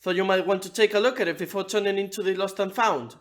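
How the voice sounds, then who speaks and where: Dutiful police officer, male actor, notably high voice, plain reporting delivery for an audio play. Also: quick pace, natural voice. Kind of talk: amateur audio play acting.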